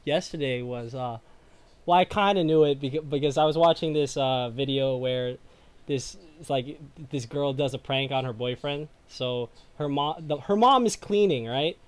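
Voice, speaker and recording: A man hosting a podcast, telling stories in a casual, animated way full of "like". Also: medium pitch, moderate pace, clear, resonant tone. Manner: animated storytelling, many "like" fillers. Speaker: podcast host. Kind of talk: casual chat.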